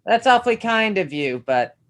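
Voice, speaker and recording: A man's voice: high voice, big pitch swings, normal speed, fairly bright tone, exaggerated voice. A man playing a nervous, apologetic screenwriter in a table read, speaking in a hesitant, awkward way. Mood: uneasy. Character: nervous, apologetic young screenwriter out of his depth.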